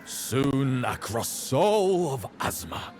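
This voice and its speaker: An actor playing a solemn, brooding male mystic who speaks gravely in a fantasy video game. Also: mid-range voice, very animated, slow, darkish tone, soft background music. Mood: grave, ominous. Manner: grave, portentous. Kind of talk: video game cutscene acting.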